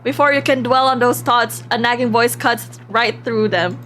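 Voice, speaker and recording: A woman livestreaming a visual novel, reading aloud with expressive, giggly reactions and the odd stumble over words. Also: fairly high pitch, moderate pace, a little hiss or hum. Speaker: live streamer playing and reading a visual novel. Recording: music playing behind the voice.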